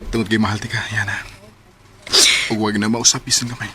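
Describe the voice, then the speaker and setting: An actor playing a devoted, patient suitor in a romantic radio drama, earnest and tender. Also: male voice, on the low side, level tone, brisk, bright, forceful tone. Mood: tender, pleading. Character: devoted, patient male suitor, gentle and lovelorn.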